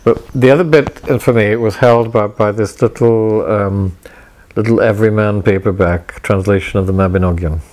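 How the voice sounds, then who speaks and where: Halting, self-correcting man, mellow voice. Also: fairly low pitch, fairly even intonation, low-quality recording. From Wales. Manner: halting, many restarts and self-corrections, wry. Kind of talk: reflective personal talk.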